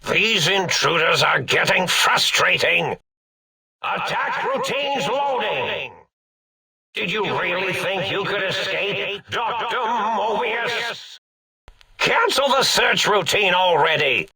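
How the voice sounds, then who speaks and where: An actor playing a manic, cackling old mad scientist villain in a video game, theatrical and grandiose, with a raspy, gravelly voice and drawn-out delivery. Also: male voice, high-pitched, bright, forceful tone, full character voice. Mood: frustrated, commanding.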